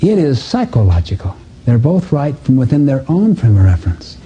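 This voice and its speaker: Guy lecturing at a self-help seminar, husky voice, earnest storyteller, kinda muffled lo-fi audio. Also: medium pitch, big pitch swings, normal speed, warm tone. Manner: earnest, emphatic, storytelling delivery. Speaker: seminar speaker. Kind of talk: motivational seminar lecture with anecdotes.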